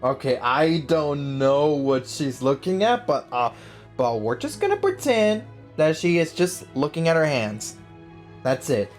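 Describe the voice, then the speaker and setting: A woman hosting a gaming video in a low, unhurried voice. Her commentary is casual, slangy and joking, with huge swings in pitch over faint game music. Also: sing-song, darkish tone. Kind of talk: casual let's play commentary.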